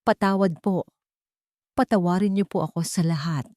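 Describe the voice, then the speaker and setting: A woman's voice: fairly low pitch, moderate pace, dark tone, no echo, clean studio sound, close to an everyday voice. A woman with a Filipino accent voicing a remorseful grown son in an audio story, pleading deferentially with his mother.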